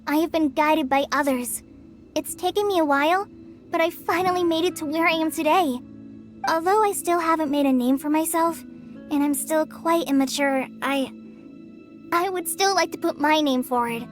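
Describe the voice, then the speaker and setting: A woman voicing an earnest, timid and excitable young girl in a video game. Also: high voice. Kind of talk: English video game voice acting.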